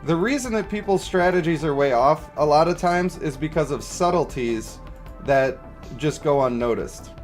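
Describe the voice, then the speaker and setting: A man's voice: on the high side, expressive, moderate pace. A man hosting a game livestream, chatting casually and explaining his views to viewers in a conversational way. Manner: conversational, explanatory, checks for agreement. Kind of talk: casual livestream chat, reading and answering viewer comments. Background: music.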